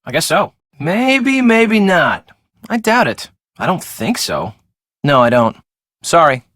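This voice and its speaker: A man's voice: fairly high pitch, sing-song, slow, fairly bright tone, no echo, studio quality, exaggerated voice. A man playing a blunt, casual young real-estate schemer in a video game, with an offhand, emphatic, conversational delivery. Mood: casual, evasive.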